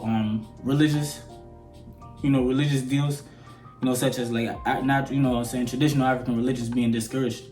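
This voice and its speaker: A man's voice: medium pitch, a little mellow. An eighteen-year-old man from Sudan with an American accent, ranting to camera in a rambling, conversational way and often asking whether you get what he is saying. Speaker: vlogger. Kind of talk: opinion rant to camera. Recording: roomy echo.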